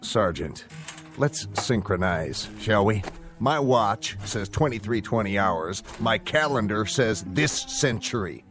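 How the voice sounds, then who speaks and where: A sardonic army officer in a low, steady register. He speaks with clipped authority and open mockery. Soft background music lies under the dialogue of this drama. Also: takes it slowly. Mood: sarcastic. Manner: sardonic, mocking. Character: gruff skeptical army officer, sarcastic and dismissive. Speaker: commanding officer. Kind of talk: 1960s television drama acting.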